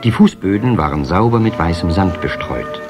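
A man narrating a children's fairy tale as a measured, expressive storyteller in an audio play. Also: low-pitched, over music. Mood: storytelling.

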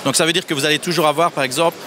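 A man's voice: on the high side, fairly even intonation, rapid-fire, bright, forceful tone, slight background noise. A man in his thirties, a sales representative explaining a product feature by feature at a trade fair stand. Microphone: handheld microphone held by interviewer.

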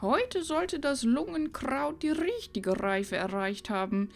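A woman playing an old, practical village grandmother with a hoarse, croaky voice in a fantasy radio play. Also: sits high, lively intonation, slow, faint music behind, exaggerated voice. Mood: matter-of-fact, instructing.

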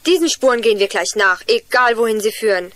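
A woman's voice: a little high, lively intonation, quick pace, fairly bright tone, dry recording. A woman playing an earnest young girl in a children's radio play, telling things in an eager, explanatory way. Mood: determined. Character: Juliane, earnest young girl lost in forest.

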